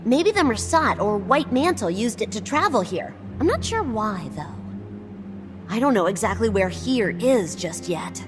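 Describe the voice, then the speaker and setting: A woman playing an eager, impatient researcher in a video game, brisk and full of curiosity. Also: mid-range voice, music in the background. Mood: inquisitive. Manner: brisk, excitable, inquisitive. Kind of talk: scripted video game dialogue.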